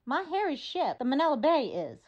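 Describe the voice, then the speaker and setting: A folksy, talkative mother of a Navy boy acted by a woman in an old-time radio play. Her high voice swings up and down excitably as she brags. The sound is slightly dull. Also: a little fast. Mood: indignant, gossipy. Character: chatty proud mother of a Navy sailor, folksy.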